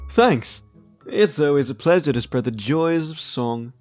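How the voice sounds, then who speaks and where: A man acts out a charming demon bard with a lively, swooping voice. He is playful, flirtatious and theatrical, breezily showing off in an audio drama with music clearly behind him. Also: on the high side, slow, no room sound. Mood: friendly.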